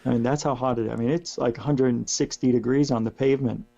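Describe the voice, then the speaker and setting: Hoarse middle-aged man, gravelly and dark. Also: medium pitch, steady tone, fast talker, faint music behind. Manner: casual, rambling with many restarts. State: hoarse, losing his voice. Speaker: guest. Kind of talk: casual radio chat. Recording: dull lo-fi line with mains hum.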